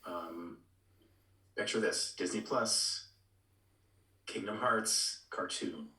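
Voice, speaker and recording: A man chatting casually as a podcast co-host, halting and repeating words as he works out what to say. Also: sits low, bright, forceful tone, distant mic. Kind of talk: casual chat, pitching ideas. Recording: roomy, echoey, away from the mic.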